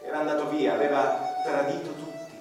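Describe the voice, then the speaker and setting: Man narrating slowly in an echoey hall. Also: on the high side, level tone. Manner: slow, reflective, hushed at times. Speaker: narrator of a radio drama. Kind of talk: first-person literary narration. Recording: distant, echoey hall with long reverb. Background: violin music.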